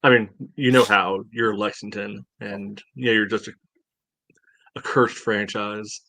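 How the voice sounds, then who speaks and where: A man with a fairly low voice co-hosts a casual sports podcast. He talks in a halting, conversational way, and the sound is dry, with no room echo. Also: steady tone, creaky, sharp tone. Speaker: podcast co-host.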